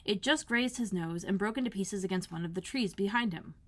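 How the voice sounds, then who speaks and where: A woman narrates a children's storybook. Her pitch sits in the middle for a female voice, and she reads expressively, as a school librarian does for young listeners. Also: brisk. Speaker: elementary school librarian reading aloud.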